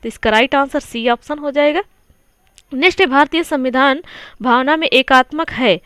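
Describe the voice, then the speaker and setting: A woman's voice: high voice, a bit bright, dry recording, clean studio sound. A woman teaching an exam coaching lesson, going through quiz answers in a conversational way and asking the listener questions. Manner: conversational, repeats points and asks the listener questions.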